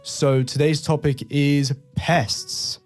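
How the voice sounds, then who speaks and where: A man's voice: a little high, little pitch movement, takes it slowly, soft background music. A man from England hosting a podcast in a casual, friendly, chatty way. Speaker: podcast host.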